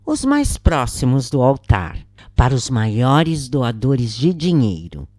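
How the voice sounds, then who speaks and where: A Brazilian woman reading a scripted first-person spiritual account as a narrator, in a measured, carefully phrased way. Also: sits low, a little mellow, no room sound, clean studio sound. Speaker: narrator and channel host.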